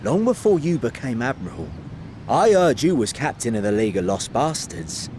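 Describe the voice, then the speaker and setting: A man voicing a rough, defiant pirate. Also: mid-range voice, big pitch swings, moderate pace, a little mellow, slight background noise, lightly acted. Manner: rough, blunt dockside speech. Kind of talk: video game cutscene acting.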